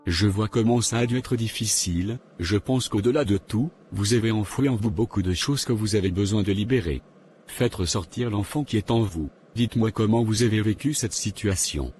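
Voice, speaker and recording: A man playing a calm counsellor in an animated drama, formal and measured as he questions gently. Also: lowish voice, a little hiss or hum, close to an everyday voice. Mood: calm, probing. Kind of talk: measured dialogue in an animated short.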